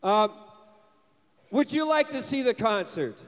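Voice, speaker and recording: A man leading a touring band who speaks to a church audience in short, energetic phrases, pausing for an interpreter. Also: very high voice. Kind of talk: English speech with live interpreter. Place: church hall. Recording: reverberant hall.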